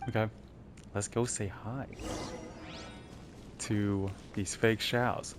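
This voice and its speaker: A woman reacts casually while playing a game as a streamer. Her voice is unusually low for a woman. Game music plays clearly underneath her brief comments. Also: fairly even intonation, slow pace.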